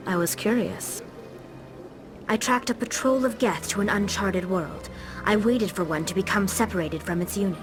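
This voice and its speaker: An earnest young alien woman, voiced by an actress for a video game. She sits at a middle pitch and explains things like a resourceful traveller telling her story. Music plays clearly behind her. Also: lightly acted. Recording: loud music behind dialogue.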